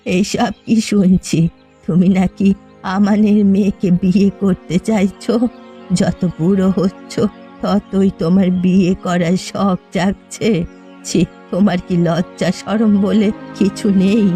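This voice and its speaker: A woman's voice: mid-range voice, steady tone, measured pace, dark tone, soft background music, natural voice. Scolding jealous wife acted by a woman. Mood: indignant. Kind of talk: cartoon voice acting.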